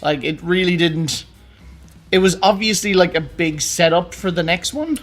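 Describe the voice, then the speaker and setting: A man with a fairly high-pitched voice chats as a co-host. His delivery is casual and a little hesitant, as he works out his thoughts while speaking. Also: animated, normal speed, faint music behind. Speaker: co-host of a film and games discussion show. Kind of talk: casual conversational banter.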